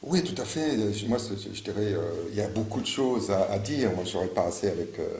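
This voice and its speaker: A man's voice: on the low side, lively intonation, brisk, darkish tone, a little hiss or hum. A man in his fifties from Morocco, a local election candidate answering interview questions with many fillers and restarts. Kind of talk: campaign interview. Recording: echoey room with faint ambience.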